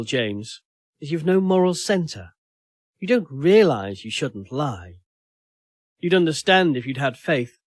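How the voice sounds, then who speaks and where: A man's voice: on the high side, no echo, clean studio sound, full character voice. A man voicing a hurt, accusing woman in an audio story, reproachful and pleading. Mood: cold, reproachful. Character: Steph, hurt, accusing young woman in a failing relationship.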